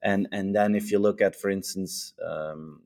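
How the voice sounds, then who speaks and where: A man's voice: low-pitched, steady tone, measured pace, darkish tone. A man with a Dutch accent, a native Dutch speaker, chatting casually and eagerly, often repeating words as he starts a thought and adding "huh?" tags. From Europe. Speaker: author and podcast guest. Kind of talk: casual conversation.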